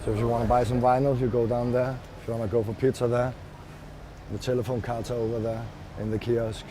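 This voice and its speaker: A man's voice: medium pitch, level tone, fast talker. A man, playfully showing his street to the camera in a casual way. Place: outdoors on a city street. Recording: distant voice, outdoor street noise. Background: street ambience.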